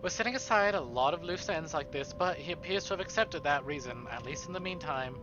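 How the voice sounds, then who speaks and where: A male streamer reading visual novel narration aloud in an expressive, dramatic way, with casual asides. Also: a little high, brisk, dull audio, background noise. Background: game music.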